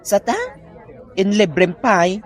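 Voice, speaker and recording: A sassy, grumbling parlor employee, acted by a man with an Ilocano accent. The pitch sits a little high, and the delivery is that of comedy drama. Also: fairly even intonation, slow, some chatter behind. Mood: complaining.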